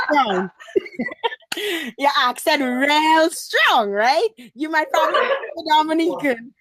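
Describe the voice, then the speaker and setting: A woman's voice: high voice, sing-song, extremely slow, noisy background. A woman hosting a casual remote interview, chatty and teasing, with hesitant fillers. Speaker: host.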